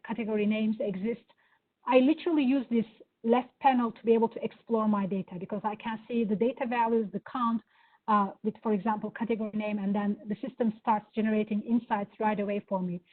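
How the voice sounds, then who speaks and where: A woman with a Turkish accent giving an enthusiastic live software demo, with many fillers. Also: fairly high pitch. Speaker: presenter. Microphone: video call. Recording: phone-like online meeting audio.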